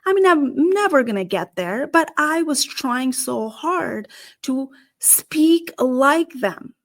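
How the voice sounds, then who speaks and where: A woman's voice: high-pitched, lively intonation, slow. Earnest woman with a Nepali accent. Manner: earnest, posing questions. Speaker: career strategist and show host. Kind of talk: solo talk to camera.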